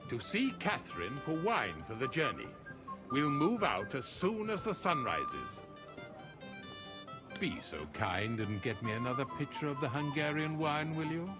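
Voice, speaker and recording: An actor playing a boastful, wine-loving lord chamberlain in a game cutscene, hearty, pompous and overconfident. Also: male voice, medium pitch, very animated, measured pace, loud music behind the voice. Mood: jovial, dismissive. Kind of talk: video game cutscene acting.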